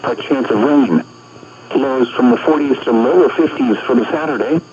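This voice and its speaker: A man's voice: medium pitch, darkish tone. Synthetic male weather radio announcer, muffled and noisy. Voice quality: synthetic text-to-speech. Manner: measured, impersonal, segmented phrasing. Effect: weather radio broadcast. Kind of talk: scripted weather bulletin reading.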